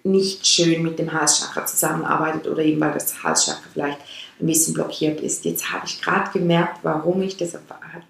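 Swiss woman in her thirties, Swiss German accent, rambling chakra talk, gravelly tone, very echoey room. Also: sits low, animated, a little fast, fairly bright tone. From Switzerland. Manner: rambling, with restarts and digressions. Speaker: chakra teacher and podcast host. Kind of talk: casual spoken explanation.

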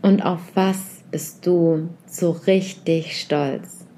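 A woman leading a guided reflection meditation, speaking softly and unhurriedly as she puts a series of gentle questions directly to the listener. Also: fairly low pitch, steady tone, drawn-out words, a little hiss or hum, rough recording.

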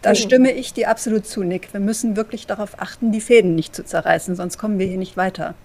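A woman playing a composed, diplomatic vampire in a role-play audio drama, speaking in measured, formal wording. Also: medium pitch, fairly even intonation, a little mellow, natural voice. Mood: composed, cautious. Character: composed, diplomatic female vampire, cautious and respectful.

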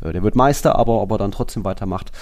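A man's voice: on the low side, racing, darkish tone. A man with a casual, conversational delivery, talking as a host on a football podcast.

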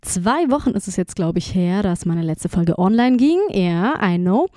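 A woman speaks in a mellow, relaxed tone in a dry, close recording. Her voice is slightly congested from a cold. She talks casually as a solo podcast host, addressing listeners directly and dropping in English words. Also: fairly low pitch, moderate pace, no room sound.

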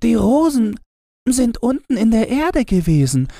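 A man's voice: extremely high pitch, big pitch swings, a little slow, dark tone, no echo, studio quality, pitched above the performer's own voice, exaggerated voice. A man voicing a sad, innocent little girl in a fairy tale, with plaintive, childlike questioning. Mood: distressed.

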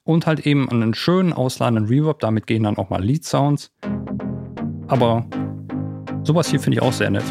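A man's voice: medium pitch, fairly quick, vocal fry, pauses often, darkish tone, faint music behind. A man co-hosting a podcast, giving a casual, enthusiastic gear review with colloquial wording and English tech jargon mixed in. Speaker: podcast co-host presenting music gear.

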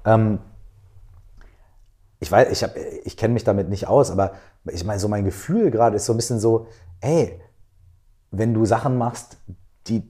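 A middle-aged man hosting a podcast interview, talking in a relaxed, slangy way and thinking out loud with lots of fillers. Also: on the low side, normal speed, pauses often. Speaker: podcast host, rapper. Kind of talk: casual interview chat.

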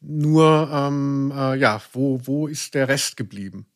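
A man's voice: medium pitch, takes it slowly, soft background music. A man co-hosting a podcast in casual chat, wry and rambling, often feeling for his words. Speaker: podcast co-host. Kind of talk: casual chat about audio dramas.

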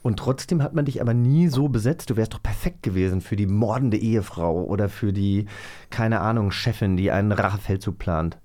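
A man's voice: fairly low pitch, brisk, dark tone. A man chatting casually and interviewing as a podcast co-host, with a joking, self-mocking manner.

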